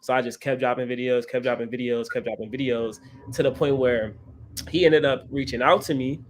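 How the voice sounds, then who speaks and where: A man's voice: mid-range voice, fairly even intonation, fairly quick, a little hiss or hum. A 24-year-old man, an interview guest who teaches about credit, talking casually and often repeating himself. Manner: repetitive, leans on "right?".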